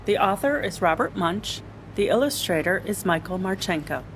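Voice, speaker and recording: A woman storyteller reading a children's story aloud in an animated, expressive way. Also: fairly low pitch, moderate pace, noisy background.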